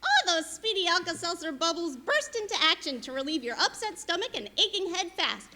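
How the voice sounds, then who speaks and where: Squeaky, perky ad mascot. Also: female voice, big pitch swings, fairly quick, pushed and bright, exaggerated voice. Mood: chirpy, selling. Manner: chirpy, singsong pitching. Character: perky, squeaky cartoonish antacid jingle mascot. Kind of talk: vintage radio commercial, partly sung.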